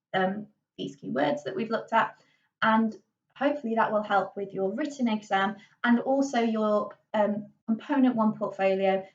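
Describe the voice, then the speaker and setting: A woman's voice: medium pitch, distant mic, dull audio. A woman with a Yorkshire accent giving a casual drama lesson, chatty and full of ums and little restarts. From Yorkshire, England. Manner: chatty, with many ums and self-corrections. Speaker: drama teacher.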